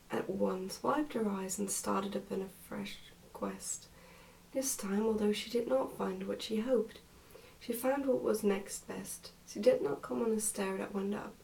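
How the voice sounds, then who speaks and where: A soft-spoken woman, often close to a whisper, reading a book aloud in an ASMR style. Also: medium pitch, gravelly, distant mic, low-quality recording. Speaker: ASMR reader and host.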